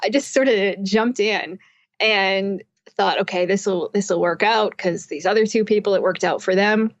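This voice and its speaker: A woman with a medium-pitched voice, talking as an interview guest. She explains things in a conversational, storytelling way. The sound is dry and slightly dull, as from an internet call. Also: a little slow, no room sound. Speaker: interview guest, psychotherapist and author.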